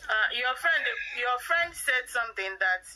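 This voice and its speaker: A woman's voice: on the high side, expressive, measured pace, bright, forceful tone. A Nigerian woman hosting a talk show, putting questions to a guest on a phone call.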